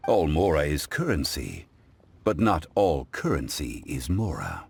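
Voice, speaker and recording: An actor playing a calm, refined gentleman connoisseur in a video game, speaking in a formal, measured, lecturing way. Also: rumbling low, vocal fry, slight background noise, full character voice. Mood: calm, instructive. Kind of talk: English-dubbed video game acting.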